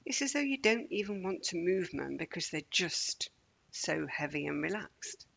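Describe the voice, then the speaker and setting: Breathy, creaky-voiced woman guiding meditation. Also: fairly low pitch, very animated. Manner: gentle, measured, deliberate pauses. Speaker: meditation guide. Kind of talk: guided relaxation for children, slow and soothing.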